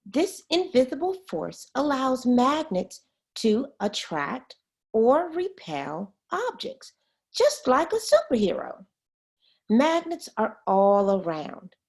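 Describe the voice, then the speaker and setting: A woman reading a children's science book aloud as a teacher, expressively and pausing to explain words. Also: sits high, wildly swooping pitch, a little mellow.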